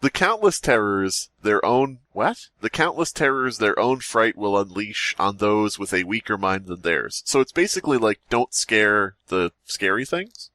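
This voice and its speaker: Bright-voiced, low-pitched man joking around. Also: lively intonation, measured pace, hardly any pauses, no echo. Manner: joking, profane, stumbles over the text while reading. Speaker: podcast host. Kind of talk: casual comedic chat and mock-dramatic reading aloud of horror stories.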